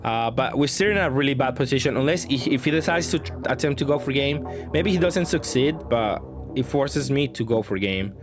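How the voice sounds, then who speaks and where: A man's voice: medium pitch, expressive, brisk. A man giving casual gameplay commentary, thinking aloud in a hesitant way as he works through his options. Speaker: card game player and channel host. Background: background music.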